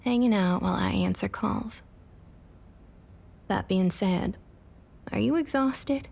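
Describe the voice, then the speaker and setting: A woman playing a domineering, condescending vampire councilwoman with a Southern US accent, in a breathy, intimate ASMR roleplay with a drawling delivery. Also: mid-range voice, slow, full character voice. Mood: coolly condescending. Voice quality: husky, breathy, often half-whispered. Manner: drawling, condescending, quietly threatening. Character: domineering female vampire council member, centuries-old, coolly possessive Southerner. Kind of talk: intimate ASMR roleplay, scripted character acting.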